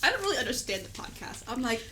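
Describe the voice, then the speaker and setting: Young woman, podcast guest, lively pitch, laughs a lot, slight background noise. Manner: laughs often, quick animated talk. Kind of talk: casual podcast chat.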